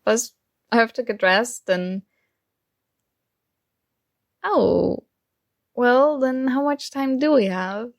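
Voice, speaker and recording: A woman's voice: a little high, slow, gravelly, long pauses, no room sound, clean studio sound. A woman acting a young roommate who is shy, teasing and smitten with a friend, in a playful, conversational ASMR roleplay.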